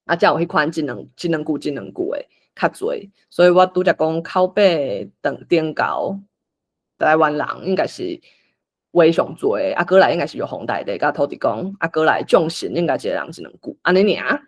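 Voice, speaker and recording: A Taiwanese-accented facilitator talks a group through a script reading. The pitch swings up and down in an animated way, and the delivery is informal, like someone thinking aloud while organising things. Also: normal speed. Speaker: workshop facilitator.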